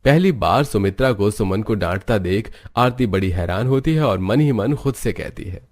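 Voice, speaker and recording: Low-voiced man narrating a story. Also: animated, normal speed, a little mellow. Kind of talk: scripted moral-story narration for a cartoon.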